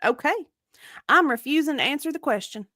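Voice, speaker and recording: Woman acting a bright, defensive, high-voiced female witness. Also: lively intonation, a little fast, dry recording, studio quality. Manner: evasive, insistent, clipped. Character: defensive, indignant woman witness being deposed. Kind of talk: dramatic reading of a deposition transcript.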